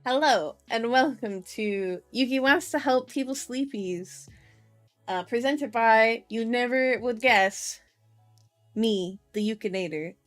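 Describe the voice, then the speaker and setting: A woman's voice: fairly high pitch, slow pace, a bit bright, soft background music. A young woman streamer chatting casually in a playful, silly, giggly manner with cutesy slang, like she is hosting a livestream introduction. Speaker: VTuber streamer, channel host.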